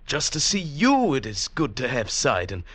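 An actor in old-time radio drama playing an earnest, impassioned outsider in love, scorned as a village idiot. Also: male voice, medium pitch, wildly swooping pitch, moderate pace, fairly bright tone, slightly rough, no room sound, exaggerated voice. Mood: pleading. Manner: earnest, impassioned, hesitant in dialogue. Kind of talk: 1940s-50s radio drama acting and narration.

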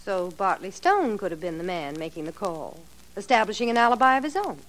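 A woman's voice: medium pitch, big pitch swings, brisk, fairly bright tone, low-quality recording. An actress playing a sardonic young woman killer in a 1940s radio drama, drawling and sarcastic. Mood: mocking. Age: young adult. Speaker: murder suspect.

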